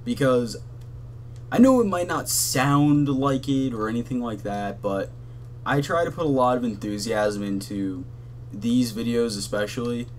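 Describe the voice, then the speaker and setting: A young man with a monotone voice, rambling casually with lots of fillers and swearing as the host of a gaming channel, talking from his bedroom. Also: mid-range voice, a little slow, slight background noise. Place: hot bedroom at his console.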